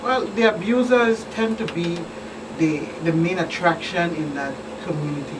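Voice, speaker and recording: A woman's voice: lowish voice, moderate pace. A middle-aged woman with a Virgin Islands Caribbean accent, explaining things as a police expert guest in a talk show interview. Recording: distant mic, clearly noisy background.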